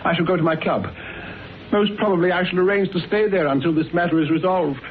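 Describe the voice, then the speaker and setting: An actor in a radio drama playing a fussy, flustered little editor who speaks formally and repeats himself. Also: male voice, sits high, quick pace, lightly acted. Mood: worried. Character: fussy, agitated, inoffensive little cat-magazine editor. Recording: tinny band-limited radio recording with hiss.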